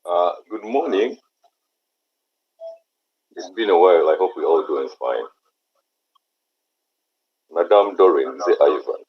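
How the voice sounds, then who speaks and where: A very low, husky-voiced man with a Ugandan accent speaks haltingly on a video call. He is a chapter leader whose first language is Luganda, and he moves between English and Luganda. The call audio sounds dull and lo-fi. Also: lively intonation. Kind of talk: online meeting remarks.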